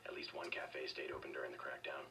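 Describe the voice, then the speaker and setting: A man playing a stoic, terse secret agent in a video game, speaking in a flat, deadpan way. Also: extremely low pitch, fast, far from the mic, background noise. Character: stoic gravel-low male secret agent, questioning locals.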